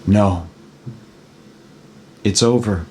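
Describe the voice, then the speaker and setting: Man acting a slow-spoken, guilt-ridden father. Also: on the low side, darkish tone, a little hiss or hum. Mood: resigned. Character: remorseful, grieving father burdened by guilt. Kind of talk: screenplay table read.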